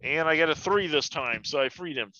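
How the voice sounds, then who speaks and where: A man's voice: on the high side, a little fast, very noisy. A man chatting casually as a player in a tabletop game. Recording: slightly dull, band-limited microphone.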